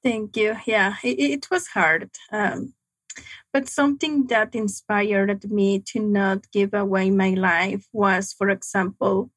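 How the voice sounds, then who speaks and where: Slow, halting young woman, second-language English. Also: medium pitch, steady tone, a bit bright, no echo. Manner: halting, reflective, frequent pauses. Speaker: guest. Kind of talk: personal testimony in an interview.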